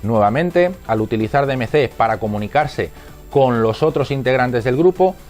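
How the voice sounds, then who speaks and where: A man's voice: medium pitch, animated, normal speed, a little mellow, faint music behind. A man with an accent from Spain reading scripted instructional narration in a methodical, didactic manner. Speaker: narrator of a product explainer.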